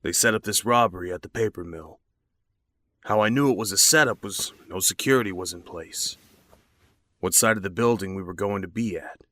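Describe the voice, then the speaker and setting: An actor playing a shady, conspiratorial accomplice to murder in a horror audio drama. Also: male voice, deep voice, moderate pace, a bit bright. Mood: grim, confiding.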